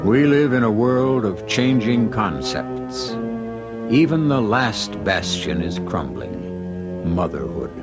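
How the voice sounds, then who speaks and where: A man hosting a radio drama with scripted narration, deliberate and portentous in manner. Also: fairly low pitch, sing-song. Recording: dull lo-fi radio with music.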